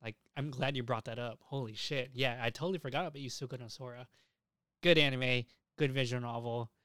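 Podcast host, young male, clean dry sound, clear voice, excitable and profane, informal delivery. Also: mid-range voice, brisk, no room sound. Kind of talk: casual podcast chat.

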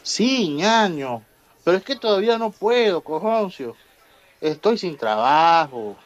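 Man acting high, lilting, humble immigrant. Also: sing-song, unhurried, muffled recording. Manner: deferential, explanatory. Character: polite, earnest Ecuadorian immigrant godfather pal. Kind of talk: humorous radio soap acting.

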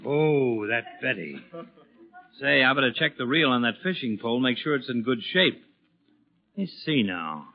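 A man's voice: medium pitch, sing-song, moderate pace. An actor playing an exasperated, flustered middle-aged father in a theatrical, sputtering 1940s radio comedy style. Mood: grumbling. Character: exasperated, flustered sitcom father of a teenage boy. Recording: muffled 1940s radio broadcast recording.

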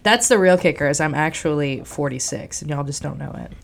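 A young woman in her twenties on a radio panel, cracking dry, self-mocking jokes. Her voice sits a little low. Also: lively intonation, brisk, gravelly. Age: 20s. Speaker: radio co-host.

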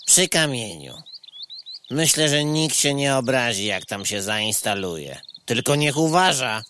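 A man playing an unhurried, money-minded insect in a radio play, matter-of-fact and wheedling. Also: medium pitch, animated, sharp tone, a little hiss or hum. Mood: helpful, matter-of-fact. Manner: indirect third-person address, matter-of-fact.

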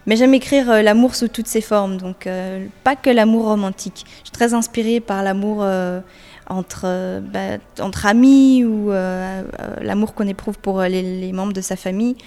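A young woman singer-songwriter answers an interviewer's questions, pausing often and restarting her sentences. Her voice sits at a middle pitch and carries a long reverb from the room around her. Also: steady tone, a little mellow. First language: French. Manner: hesitant, many fillers and restarts.

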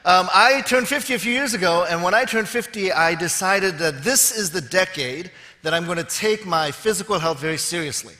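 A man in his fifties delivers a sermon from a stage, his voice high and bright. He teases himself and the audience in a wry, easy way before settling into teaching. Long reverb from the large hall trails after his words. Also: lively intonation, moderate pace. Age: 50s. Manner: wry, joking asides to the audience. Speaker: preacher. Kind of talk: sermon with self-deprecating humor.

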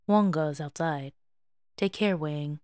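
A woman voicing a reserved, quietly caring teenage boy in an audio story, speaking in a terse, measured way. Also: low-pitched, smooth. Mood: calm, caring. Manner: terse, measured, plain-spoken. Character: stoic, reserved male teen alpha, quietly caring.

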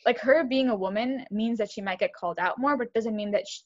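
A young woman co-hosting a podcast, talking fast and animated in casual chat, with lots of "like". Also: on the high side, lo-fi sound. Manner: fast, animated, heavy use of "like". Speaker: podcast co-host.

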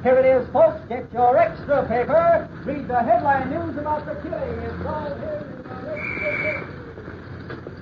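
A male actor in a 1940s radio drama playing a street newsboy with loud hawking cries. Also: extremely high pitch, sing-song, unhurried, full character voice. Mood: urgent, hawking. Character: shrill street newsboy hawking extra papers. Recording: muffled, very noisy 1940s radio recording.

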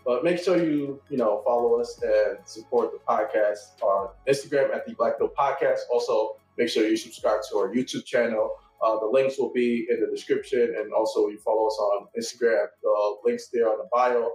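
A man hosting a casual podcast, talking in a relaxed way with many fillers. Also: mid-range voice, normal speed, few pauses, faint music behind. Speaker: podcast host. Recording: echoey room, mic at a distance.

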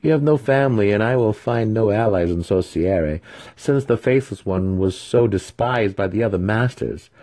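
A man voicing a sly, cruel young male dark elf apprentice, teasing and matter-of-fact about menace, in a fantasy storybook reading. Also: lowish voice, lively intonation, normal speed, voice pushed up. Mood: teasing, threatening.

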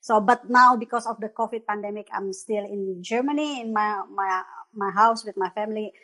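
A woman's voice: medium pitch, normal speed, bright, forceful tone. A woman from Indonesia with an Indonesian accent, a guest teacher chatting casually, rambling in broken phrases and laughing often. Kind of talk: casual live-stream chat.

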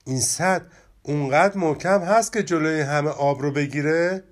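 Man doing young storybook rabbit boy, touchy and proud, pitched up, emphatic. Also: lightly acted. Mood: eager.

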